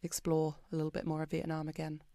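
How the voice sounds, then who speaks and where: A British woman being interviewed on a podcast, talking in a chatty, expressive way with plenty of ums and likes. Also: deep voice, fast, dry recording, clean studio sound. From Britain. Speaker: podcast guest. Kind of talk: casual interview chat.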